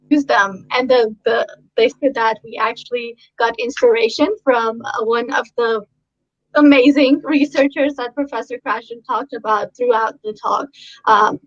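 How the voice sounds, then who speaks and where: A woman's voice: a little high, a bit bright. A woman researcher, born and raised in Iran with Persian as her first language, talking earnestly in a casual, conversational way with many ums and restarts. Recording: dull lo-fi audio.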